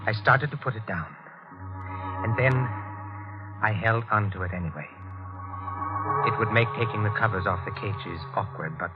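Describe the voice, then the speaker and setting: An actor in a 1940s radio mystery playing a tense, guilt-ridden murderous husband who speaks and narrates in a halting, ominous way. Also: male voice, fairly low pitch, lightly acted. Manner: halting, repetitive, ominous delivery. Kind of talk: 1940s radio drama acting and first-person narration. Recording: dull old radio recording, loud music.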